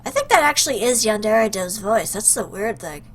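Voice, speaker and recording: A woman hosting a casual let's play, commenting in an expressive, playful, joking way. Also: mid-range voice, moderate pace. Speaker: host, gaming YouTuber. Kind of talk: casual let's play commentary, reading game lines aloud.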